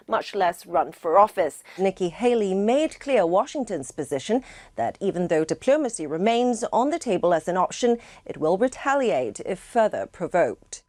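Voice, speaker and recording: A woman news correspondent delivering formal, measured broadcast reports. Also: medium pitch, darkish tone, dry recording.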